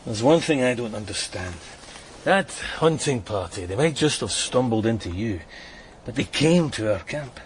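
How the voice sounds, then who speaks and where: Radio drama centurion male actor, gruff and blunt, middle register, exasperated sarcasm, clear background atmosphere. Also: medium pitch, lively intonation, normal speed, slight background noise, lightly acted, rough recording. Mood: bitter. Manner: blunt, curt, grumbling.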